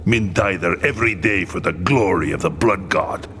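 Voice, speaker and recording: A man playing a gruff, vengeful military commander in a dramatic video game scene, commanding and impatient. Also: low voice, moderate pace, a bit bright, slight background noise. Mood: urgent, commanding. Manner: commanding, intense, stubborn.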